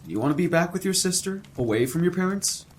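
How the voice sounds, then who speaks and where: A man acting a kindly, fretful college professor in an audio drama, expressive and fatherly. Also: high voice, fast talker. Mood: resolved.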